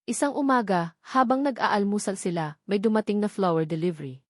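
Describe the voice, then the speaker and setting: Filipino woman reading a dramatic story script, mid pitch, really dry recording. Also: brisk, no echo. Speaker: narrator.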